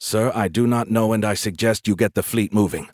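A man's voice: lowish voice, fairly quick, a little mellow, dry recording, clean studio sound, lightly acted. A man as a formal, cautious military officer. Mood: urgent, respectful. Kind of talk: audiobook character voice.

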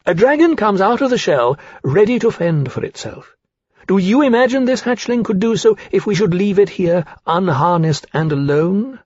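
A man's voice: on the high side, animated, moderate pace, creaky, slightly rough, no room sound, lo-fi sound, voice pushed up, heavily acted. A man playing a haughty, scornful aviator officer with a cutting, impatient manner in a period fantasy audiobook. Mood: scornful, indignant.

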